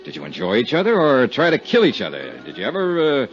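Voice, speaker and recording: An actor playing a world-weary insurance investigator in a 1950s radio drama, blunt, wry and clipped. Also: male voice, medium pitch, huge pitch swings, brisk. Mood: sour, irritated. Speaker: lead actor. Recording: dull 1950s radio recording, music behind.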